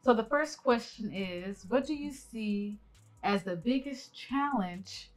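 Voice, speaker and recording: A woman's voice: a little high, animated, takes it slowly, poor audio. Giggly young woman hosting a podcast. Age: 20s. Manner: giggly and friendly, laughs often. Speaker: podcast host. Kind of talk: casual family podcast.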